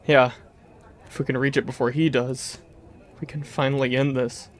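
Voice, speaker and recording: A young man playing a warrior who is grieving his father, speaking in a steady, quietly reassuring way. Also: mid-range voice, normal speed, natural voice. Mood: somber.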